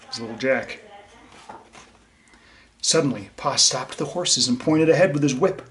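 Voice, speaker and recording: A man's voice: medium pitch, unhurried, a bit bright. Man reading to children, noisy recording. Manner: simple, explanatory, addresses children directly. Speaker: teacher reading a picture book aloud to children. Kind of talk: children's read-aloud with asides to young listeners.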